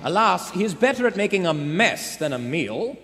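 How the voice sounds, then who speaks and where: Man acting as radio thriller announcer, brisk, dramatic, high-pitched, theater hall echo. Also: big pitch swings, fairly bright tone, faint music behind, exaggerated voice. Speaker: radio play narrator. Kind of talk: 1940s radio acting, scripted narration. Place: on a theater stage.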